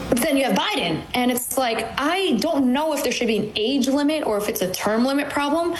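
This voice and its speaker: A woman's voice: a little high, roomy sound, a little hiss or hum, poor audio. A woman in her twenties co-hosting a casual political talk, chatty and full of "like". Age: 20s. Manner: chatty, frequent "like". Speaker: co-host.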